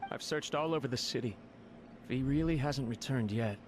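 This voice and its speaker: A man's voice: mid-range voice, moderate pace, a little hiss or hum, lightly acted. A man playing a nervous, deferential young servant in a video game, speaking with earnest, apologetic hesitation. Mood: worried, humble. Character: anxious, deferential young male servant of a noble clan.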